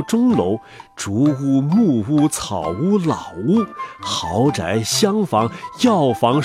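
A man narrating a children's fairy tale in an expressive, storytelling manner. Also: medium pitch. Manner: expressive, wide-ranging storytelling delivery.

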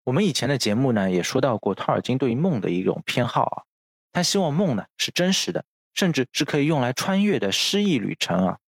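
A man's voice: mid-range voice, sing-song, a little fast, gravelly, breathy edge, no room sound, studio quality. A man hosting a podcast, narrating literary history from a script in a bookish, explanatory manner. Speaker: podcast host. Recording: music under the voice.

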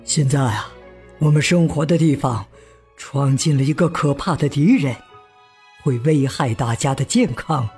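A man playing a calm, patient father, gentle and reassuring, in a children's radio drama. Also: mid-range voice, a little slow, breathy edge, soft background music. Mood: comforting.

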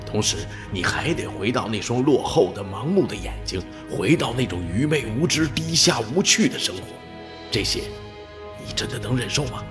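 A man's voice: mid-range voice, animated, moderate pace, over music, natural voice. A man playing an eager, awed dog companion in a radio drama, with an earnest, theatrical delivery. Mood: scornful, insistent. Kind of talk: dramatic radio play acting.

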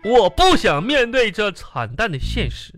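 An actor playing a grumbling, beer-loving husband in a live radio comedy, stammering and repeating himself, then turning mock-grandiose. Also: male voice, sits high, very animated, unhurried, fairly bright tone, heavily acted. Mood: tipsy, mock-tragic. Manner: stammering, repetitive, mock-grandiose when drinking. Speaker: radio comedy host-performer. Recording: music behind the voice.

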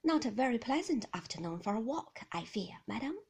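A woman voicing a formal, attentive male butler, polite and deferential, in a soft breathy voice. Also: a little high, very animated, a little fast, full character voice. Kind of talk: whispered audiobook reading.

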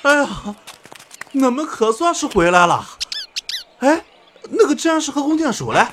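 A woman's voice: high voice, very animated, measured pace, fairly bright tone, full character voice. A woman voicing a fussy, eager little scout who washes dishes, in a fantasy audio drama. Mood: relieved, curious. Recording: noisy kitchen clatter behind.

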